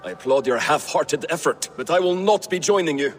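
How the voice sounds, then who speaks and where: Actor as a high-pitched sneering Viking warrior. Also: male voice, animated, brisk, close to an everyday voice. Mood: scornful. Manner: sarcastic, bitter, defiant. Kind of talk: video game cutscene acting.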